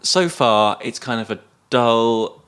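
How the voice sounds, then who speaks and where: A man's voice: medium pitch, animated, takes it slowly, a bit bright, a little hiss or hum. A man co-hosting a podcast, casually retelling a film's plot in a hesitant, loosely conversational way. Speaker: podcast co-host.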